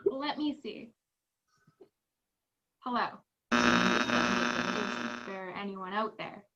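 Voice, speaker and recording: A woman's voice: mid-range voice, big pitch swings, drawn-out words, heavy background noise, full character voice. A teenage woman student acting a puzzled, sheepish radio technician in a hesitant, understated way over a video call. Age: teens. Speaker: student actor. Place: home room, video call.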